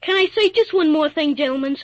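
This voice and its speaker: A woman's voice: sits high, fast, no room sound, natural voice. A woman playing an earnest, plucky little boy in a 1940s radio drama, slangy and polite. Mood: earnest, defensive. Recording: dull, dry old radio recording.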